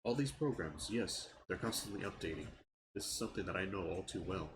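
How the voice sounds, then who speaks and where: A frustrated young man with a very low voice vents in a halting, casual way, often breaking off and restarting his sentences. His nose sounds stuffed up, and a clear hiss of background noise sits under his voice. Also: animated. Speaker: channel owner giving an update. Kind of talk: casual personal vlog rant.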